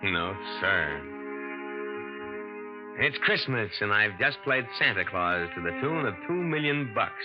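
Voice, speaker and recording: A nervous young impostor confides his story in a low, lively, hard-boiled voice. The sound is dulled like an old broadcast, with music playing clearly underneath. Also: unhurried, pauses often. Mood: tense. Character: young male impostor, nervous but clever. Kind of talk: first-person radio drama narration. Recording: muffled 1950s radio drama with music.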